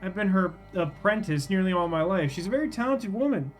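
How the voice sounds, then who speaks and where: A man reading the game dialogue of an earnest apprentice of folk magic in a measured, formal way. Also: high-pitched, expressive, faint music behind. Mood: earnest, proud.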